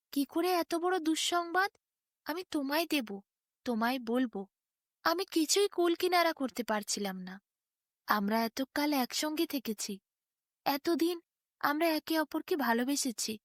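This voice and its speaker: A woman's voice: sits high, lively intonation, unhurried, long pauses, dry recording, clean studio sound, pitched above the performer's own voice. A woman voicing a sly donkey in a bedtime story, confiding in a plaintive way with feigned sorrow.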